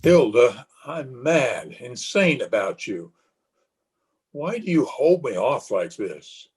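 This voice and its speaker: Philandering husband, played by a man, bitter and weak-willed: husky voice, widely swinging intonation, old-time radio melodrama. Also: mid-range voice, very animated, slow, soft background music, full character voice. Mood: pleading, infatuated. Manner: melodramatic, agitated. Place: remote recording over Zoom.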